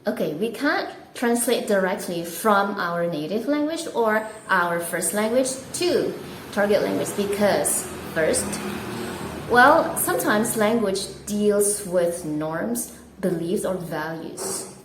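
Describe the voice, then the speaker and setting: A woman's voice: medium pitch, animated. Woman teaching English in an echoey room. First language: Indonesian. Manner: deliberate, drawn-out teacherly explaining with filler words. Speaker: host of an English-learning channel. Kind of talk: explaining a lesson to camera. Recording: echoey room with clear background noise.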